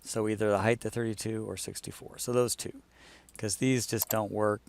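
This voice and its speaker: A man's voice: fairly low pitch, level tone, moderate pace, vocal fry, a little mellow, slight background noise. A man talking casually through an on-screen demonstration, informal and thinking aloud as he goes. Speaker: client explaining a design project. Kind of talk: casual screen-share walkthrough.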